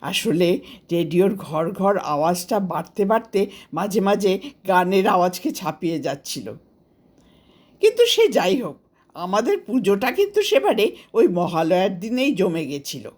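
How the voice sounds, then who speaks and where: Female storyteller, Indian Bengali accent, mid-range voice, animated intonation, scripted memoir-style narration. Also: mid-range voice, measured pace. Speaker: author narrating her own story.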